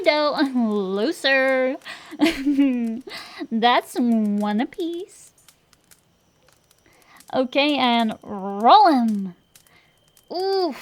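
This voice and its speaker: A woman plays a tsundere dragon girl sorceress in an intimate fantasy audio roleplay. Her voice sits a little high and she takes her time over her words. She blusters and teases like a tomboy gambler, easily flustered, with fondness underneath. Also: exaggerated voice. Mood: competitive, teasing.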